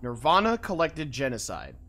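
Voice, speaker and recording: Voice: medium pitch, moderate pace. A streamer giving casual, playful commentary on a video game, reading its text aloud and muttering along. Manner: animated, mutters to self, playful exclamations. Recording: game music behind the voice. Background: video game music.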